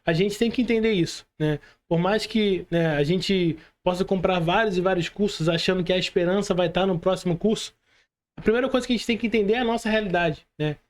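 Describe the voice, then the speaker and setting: A man's voice: sits high, a little fast. A Brazilian man talking motivationally on a podcast in an earnest, colloquial, exhorting way, often checking that the listener follows.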